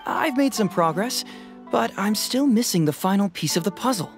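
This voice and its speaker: A young detective who talks in a relaxed, wry way. His pitch moves freely up and down as he hints and jokes. Soft game music plays behind him. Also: moderate pace. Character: clever young male detective, easygoing. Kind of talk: video game voice acting.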